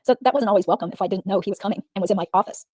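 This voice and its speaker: A woman's voice: medium pitch, dark tone, breathy edge, no echo, studio quality, natural voice. A woman reading a guarded female witness. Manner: guarded, measured answers. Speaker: reader in a deposition reenactment. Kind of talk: read-aloud legal reenactment.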